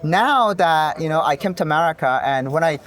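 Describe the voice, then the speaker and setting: A man's voice: a little high, animated, brisk, a bit bright. Hesitant man in his thirties, from North Korea. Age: 30s. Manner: hesitant, many fillers and restarts. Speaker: interviewee, defector. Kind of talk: casual street interview. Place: outdoors.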